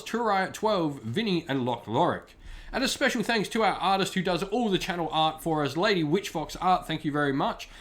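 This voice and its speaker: A man's voice: on the high side, fairly bright tone. A man hosting a hobby gaming channel, giving a spoken review in loosely phrased, explanatory talk.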